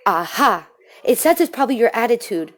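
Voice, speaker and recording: A woman plays a skinny young storybook knight with a high, squeaky voice. He is timid under his shining armour, yet eager and kind. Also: big pitch swings, brisk. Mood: eager, helpful.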